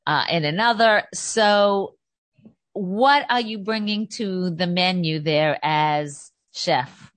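A woman hosting a celebrity talk show, introducing her guest and asking questions in an upbeat, deliberate way. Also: on the low side, dry recording. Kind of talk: interview hosting.

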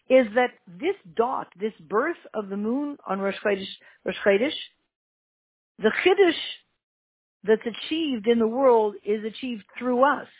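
A teacher with a Canadian accent giving an emphatic religious lesson, repeating key words and jumping up in pitch for emphasis. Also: slightly rough, no echo. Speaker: Torah teacher. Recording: tinny phone-like line, dry.

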